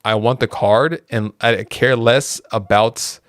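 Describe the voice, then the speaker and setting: A man hosting a casual podcast, relaxed and easygoing, reading out viewer questions. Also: mid-range voice, takes it slowly, no echo, clean studio sound. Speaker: podcast host.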